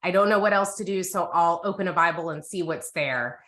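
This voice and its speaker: A woman's voice: fairly low pitch, brisk, fairly bright tone, echoey room. An American woman in her thirties giving a candid, casual personal testimony as a co-host. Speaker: co-host of a women's Bible reading group.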